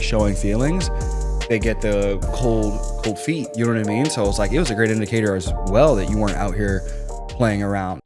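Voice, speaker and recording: Animated young man hosting a casual podcast. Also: on the low side. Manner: animated, rambling, lots of fillers. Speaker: podcast host.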